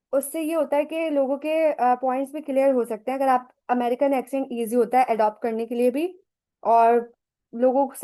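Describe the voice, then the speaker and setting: Woman, Pakistani accent, chatty online teacher, higher pitch, warm mellow voice. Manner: chatty teacherly, mixes English phrases into Urdu. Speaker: online session speaker. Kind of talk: online lecture mixing Urdu and English.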